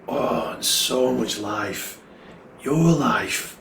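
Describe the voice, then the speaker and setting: A man plays a kindly northern English dad from a storybook, soothing his son. He is tender and encouraging at a middling pitch, in a roomy space with background noise. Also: animated, extremely slow, gravelly, a bit bright, full character voice. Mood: tender, reassuring. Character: gentle, reassuring dad comforting his son.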